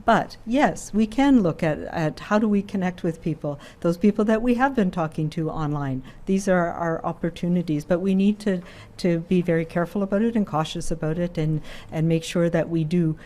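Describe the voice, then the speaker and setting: A woman health official speaks in a mellow, fairly low voice. She chooses her words carefully and pauses often with soft fillers. Her tone stays calm and gentle throughout the clip. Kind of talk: press briefing remarks.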